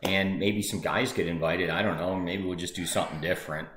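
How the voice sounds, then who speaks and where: Deep voiced guy co-hosting a real estate show, laid back and halting, echoey room. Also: fairly even intonation, a bit bright, slight background noise. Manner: easygoing, halting, with filler words. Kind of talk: casual chat.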